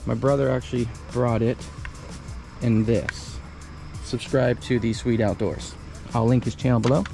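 A man in his thirties giving a relaxed, chatty step-by-step demonstration outdoors at a campsite under a canopy tent. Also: mid-range voice. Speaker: vlogger. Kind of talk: casual how-to demo. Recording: background music under voice. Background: music.

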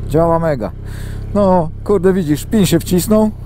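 A man's voice: a little high, a little slow. A man chatting informally and ramblingly as a motovlogger while riding a motorcycle, with some mild swearing. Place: outdoors, on a motorcycle on the road. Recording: loud wind and engine noise.

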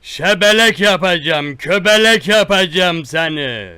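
A man playing a menacing, boastful rustic tough guy who threatens a caller with slow, repetitive, drawn-out threats on a one-sided prank call. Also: high voice, animated, pushed and bright, clear, resonant tone, no echo, clean studio sound, heavily acted.

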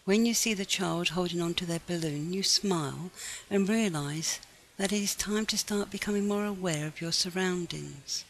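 A woman narrates a scripted guided meditation with a noticeably creaky edge to her voice. Her phrasing is measured and gently instructive. Faint to moderate background noise sits under the recording. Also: fairly low pitch, rough recording.

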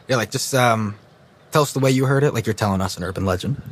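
A man's voice: mid-range voice, brisk, a bit bright, natural voice. An actor playing a flippant, sarcastic teenage boy podcaster in an audio drama, speaking bluntly and casually. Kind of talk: naturalistic audio drama acting. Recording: clear background ambience noise.

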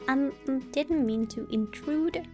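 High female voice, casual gaming commentary, chatty, hesitant, game music underneath. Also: slow pace, warm tone. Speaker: gaming video host. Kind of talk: casual let's play commentary, reading dialogue aloud.

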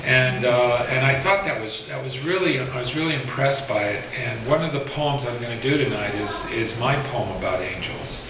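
A middle-aged man performing as a poet at a live reading, speaking with deliberate, theatrical phrasing. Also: mid-range voice, a crowd in the background. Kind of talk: theatrical live poetry recital with spoken introduction. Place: small indoor performance space. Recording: echoey, noisy room, distant live pickup.

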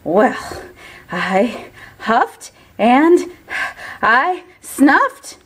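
A woman's voice: high voice, sing-song, extremely slow, fairly bright tone, breathy edge, full character voice. A woman voicing a self-justifying, folksy wolf in a children's storybook, telling his side in a mock-innocent, theatrical way. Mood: mock-innocent, chatty. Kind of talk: children's storybook read-aloud.

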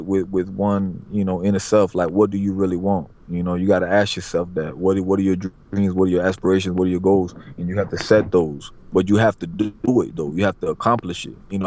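A man's voice: sits low, darkish tone. A man, a barber, chatting casually as a podcast guest in a streetwise way, repeating himself and asking "you know what I mean". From Florida, USA. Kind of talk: casual interview talk. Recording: dull lo-fi audio.

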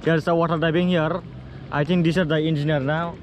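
A man's voice: fairly high pitch, little pitch movement, brisk, lo-fi sound. A young man from Sikkim vlogging his travels in a playful, exclaiming way, in broken English mixed with Nepali words, recorded on an action camera in a car and a hotel room. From Sikkim, India. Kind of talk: excited travel vlog. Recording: noisy outdoor action-cam recording.

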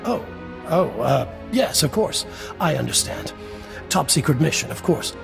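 Earnest, creaky-voiced town mayor. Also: male voice, fairly high pitch, big pitch swings, unhurried, over music, heavily acted. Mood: chatty, earnest. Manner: hesitant, warm, effusive. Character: friendly, earnest middle-aged male small-town mayor. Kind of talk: video game voice acting.

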